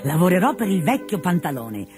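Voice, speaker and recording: A woman's voice: on the low side, fairly quick, over music. A woman voicing a cheerful, carefree young male servant in a storybook reading. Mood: dreamy. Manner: upbeat, lively. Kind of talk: storybook character voice.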